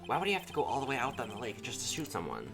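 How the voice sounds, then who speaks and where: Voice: mid-range voice, huge pitch swings, racing, over music. A game streamer voicing a stern old male judge in a courtroom video game, formal, ponderous and easily baffled. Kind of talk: visual novel game read-aloud.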